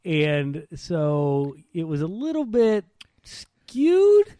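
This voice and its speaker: A man's voice: a little high, wildly swooping pitch, drawn-out words, mellow, no echo, clean studio sound. A man chatting casually as a podcast co-host, telling stories in an informal, slangy way. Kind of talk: casual chat.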